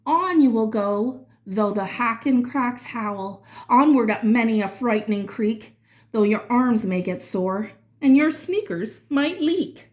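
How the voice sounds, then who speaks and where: A woman reading rhyming verse aloud for children in an expressive, dramatic way, drawing out certain words. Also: fairly high pitch, takes it slowly. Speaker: children's read-aloud reader.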